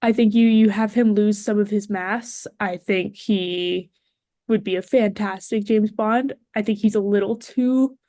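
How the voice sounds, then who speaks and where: A woman in casual conversation as a podcast panelist, discussing entertainment in a relaxed chat style. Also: mid-range voice, measured pace, no room sound, clean studio sound.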